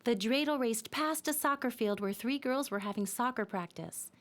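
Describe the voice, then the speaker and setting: A woman narrating a scripted children's story in a simple, expressive storytelling manner. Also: a little high, moderate pace.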